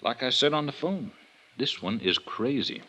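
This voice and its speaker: A baffled, wisecracking veteran American police detective in a 1950s radio drama. He sounds middle-aged, with a sharp, forceful edge and a very lively swing in pitch. His manner is folksy and dry. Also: moderate pace. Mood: weary, baffled.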